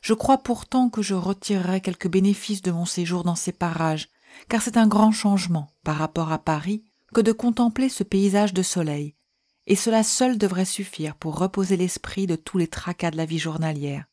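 A woman reading historical letters aloud in a measured, gentle way. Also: on the low side. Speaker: reader.

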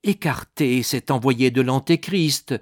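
A man voicing a stern Protestant minister in a storybook reading, solemn, preachy and insistent, quick to indignation. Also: medium pitch, no room sound, studio quality, lightly acted.

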